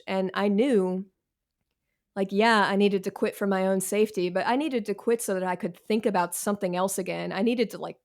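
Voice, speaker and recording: A woman's voice: mid-range voice, a little mellow. Wry, candid American woman. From Washington, D.C., United States. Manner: candid, wry and self-deprecating, with slangy, swearing talk. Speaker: author, interview guest. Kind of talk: casual conversational interview.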